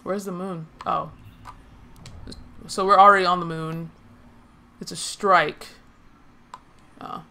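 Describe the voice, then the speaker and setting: A woman's voice: lowish voice, steady tone, slow, vocal fry, pauses often, bright, forceful tone. A woman casually commenting on her own gameplay, chatty and laughing, swearing, and talking to herself. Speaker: let's play gamer.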